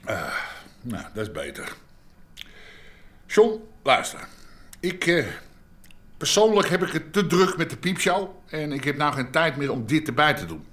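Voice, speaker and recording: An actor playing a gruff, middle-aged underworld boss in a radio drama, blunt and domineering. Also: male voice, fairly high pitch, very animated, measured pace, pauses often, fairly bright tone. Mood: grumbling, settling down. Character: gruff middle-aged Amsterdam underworld boss, short-tempered but fatherly. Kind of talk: naturalistic radio drama acting.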